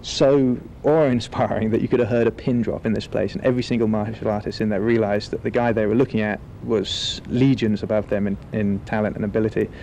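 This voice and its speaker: A man talking as a film director in a relaxed, wordy, articulate interview. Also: lowish voice, brisk, vocal fry, few pauses, slight background noise, poor audio.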